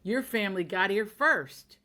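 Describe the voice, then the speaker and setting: A woman's voice: medium pitch, lively intonation, unhurried, bright, forceful tone. A woman hosting an interview, effusive and enthusiastic toward her guest. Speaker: host. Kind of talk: interview conversation.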